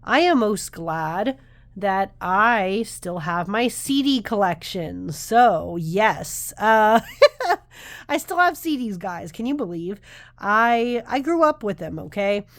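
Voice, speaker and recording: A woman in her thirties talks casually to camera as a tarot vlogger, her voice a little nasal as she gets over the flu. She rambles and interrupts herself as she goes, at a middling pitch. The sound is dry and close, with no room echo. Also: expressive, takes it slowly. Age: 30s. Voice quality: slightly nasal. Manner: chatty, rambling, self-interrupting. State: recovering from flu.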